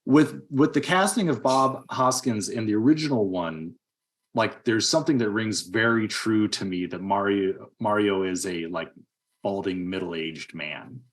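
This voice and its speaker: A man hosting a podcast, discussing films casually in a musing, halting way. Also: on the low side. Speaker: podcast host.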